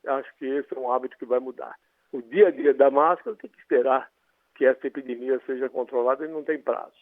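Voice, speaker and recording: A man with a Brazilian Portuguese accent, an infectious disease doctor calmly explaining in a radio interview by phone. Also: medium pitch, fast talker, no echo. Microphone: telephone. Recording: tinny phone line.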